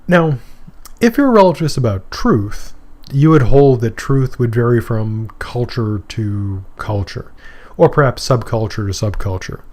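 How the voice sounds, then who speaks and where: A middle-aged man from Maine lectures on philosophy at a mid pitch. He talks in a loose, conversational way and stops for examples, fillers and asides. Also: lively intonation, measured pace, a little mellow. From Maine, USA. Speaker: philosophy professor. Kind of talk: recorded course lecture.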